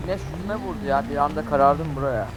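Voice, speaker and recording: A man joking around in a game, mixing English slang into his talk. Very loud game and street noise almost covers him. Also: a little high, quick pace. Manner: playful, drops English slang. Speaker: roleplay game player. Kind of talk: casual game chat.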